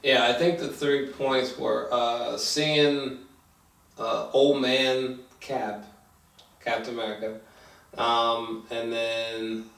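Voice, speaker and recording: A man chatting casually about a film, halting and hesitant, circling back and swearing casually. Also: mid-range voice, little pitch movement, gravelly, fairly bright tone. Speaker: film review podcast co-host. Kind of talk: casual chat, film review. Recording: roomy echo, distant microphone.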